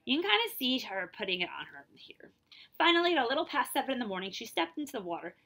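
A woman's voice: fairly high pitch, very animated, fast, pushed and bright. A woman around her forties reading a children's picture book aloud expressively, stretching key words and adding explanatory asides. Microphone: device camera at about arm's length.

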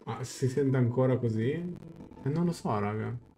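Mellow-voiced young Italian man streaming. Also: mid-range voice, measured pace, a little hiss or hum. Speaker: game streamer. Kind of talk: casual live reaction and translation commentary. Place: small room at home. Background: faint game music.

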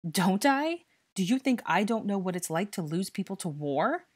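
A woman's voice: fairly low pitch, animated, normal speed, a little mellow, breathy edge. A woman voicing a stern, furious young male investigator who scolds and interrogates with exasperated force in an audiobook story. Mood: heated, berating.

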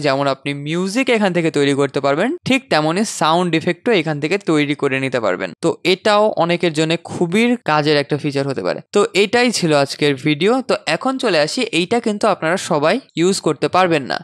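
A man hosting a casual software tutorial, conversational and dropping in English terms. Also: on the high side, quick pace, few pauses, no room sound, clean studio sound. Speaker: tutorial host. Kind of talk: casual software tutorial screen-recording narration.

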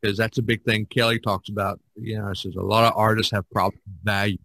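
An older man hosts a casual podcast with a bright, forward voice at a medium pitch. He explains things in a rambling way, and the recording is close and dry. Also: little pitch movement, brisk, vocal fry, no echo. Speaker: podcast host and painter.

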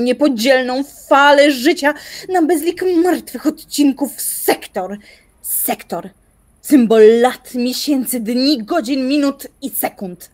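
A woman's voice: high-pitched, darkish tone. An actress playing an obsessive young woman musing aloud theatrically in a radio play. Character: intense obsessive young woman, brooding philosopher. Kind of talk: radio play dramatic monologue.

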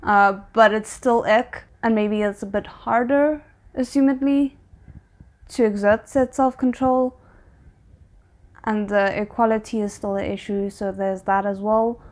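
A woman's voice: mid-range voice, steady tone, unhurried. A woman in her twenties giving casual, candid and emphatic reaction commentary in a bedroom. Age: 20s. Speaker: reaction video host. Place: small bedroom indoors.

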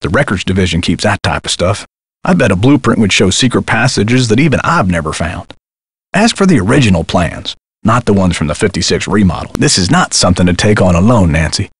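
An actor playing a kindly, folksy old theater projectionist in a video game, with old-fashioned turns of phrase and a husky voice. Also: male voice, low voice, animated, a little fast, no echo, clean studio sound, heavily acted. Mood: helpful, earnest. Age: older. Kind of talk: scripted video game character dialogue.

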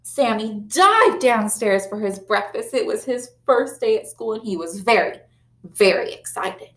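A young woman teacher reading a picture book aloud to children, animated and playful, with big gasps and leaps in pitch. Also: unhurried. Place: small classroom, indoors. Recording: echoey classroom.

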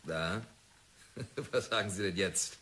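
A gruff defiant prospector. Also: male voice, deep voice, slow pace, very noisy. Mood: triumphant. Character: stubborn desperate male prospector, defiant. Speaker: lead character. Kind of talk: 1960s radio drama acting.

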